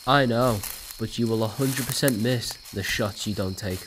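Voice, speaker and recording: A man's voice: fairly low pitch, normal speed, background noise, lightly acted. A young British man playing a brash college student, a cocky but loyal friend, in an audio drama. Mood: encouraging. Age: young adult. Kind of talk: naturalistic audio drama acting.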